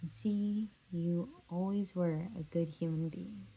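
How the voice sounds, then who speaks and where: A woman's voice: lowish voice, steady tone, unhurried, warm tone, slightly rough, dull audio. Woman acting a heartbroken, pleading jilted lover. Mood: tender, exasperated. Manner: emphatic, repetitive, pleading. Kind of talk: stage drama scene and monologue.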